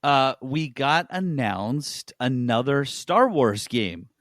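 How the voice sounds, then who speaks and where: A man's voice: medium pitch, very animated, takes it slowly, fairly bright tone, dry recording, studio quality. A man hosting a casual gaming news podcast, talking in a blunt, opinionated way.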